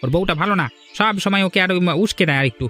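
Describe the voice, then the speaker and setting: A man's voice: high voice, fast, bright, forceful tone, faint music behind. A man voicing a cautious village fisherman who earnestly warns his friend in a cartoon. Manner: earnest, warning. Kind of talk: cartoon voice acting.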